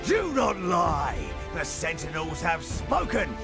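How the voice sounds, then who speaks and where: A man's voice: on the high side, huge pitch swings, slow pace, gravelly, music in the background, full character voice. An actor, a man, playing a pompous, menacing imperial officer who declaims theatrically in a fantasy game. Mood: commanding. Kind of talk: theatrical video game cutscene acting.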